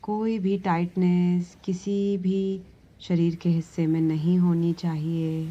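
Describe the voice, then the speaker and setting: Female meditation guide. Very slow, soothing, mellow delivery with long pauses; slightly muffled recording. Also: on the low side, level tone. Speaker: yoga nidra meditation instructor.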